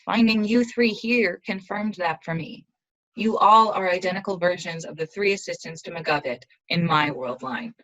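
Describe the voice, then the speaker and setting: A woman acts out a young female rebel assassin from a dystopian future. Her voice sits a little low for a woman. She speaks with resolute, earnest intensity, as in a dramatic audio play. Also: normal speed, fairly bright tone, lo-fi sound, close to an everyday voice. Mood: urgent.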